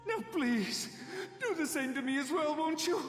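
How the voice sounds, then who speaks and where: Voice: high-pitched, expressive, moderate pace. An actor playing a grieving, servile widower in an anime game, begging in an unsteady, obsequious way. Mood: desperate pleading. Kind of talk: theatrical anime game voice acting. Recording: echoey hall reverb, music behind.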